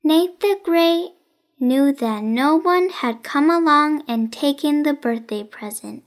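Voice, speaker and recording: A woman reading a children's story in expressive, careful, deliberate narration. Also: high voice. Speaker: children's audiobook reader.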